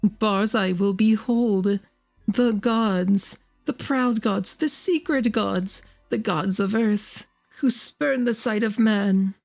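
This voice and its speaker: A woman voicing a proud, fanatical male prophet in a shrill, grandiose, archaic declamation for a horror audiobook. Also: takes it slowly, a little mellow, soft background music. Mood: exultant, boastful. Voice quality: shrill, high-strung. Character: Barzai, proud fanatical male prophet, exultant, wildly shouting. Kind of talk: dramatic audiobook character voice.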